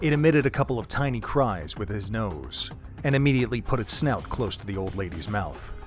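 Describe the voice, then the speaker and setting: Man narrating a dark story. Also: on the low side, faint music behind. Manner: steady, measured storytelling. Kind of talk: scripted audio drama narration.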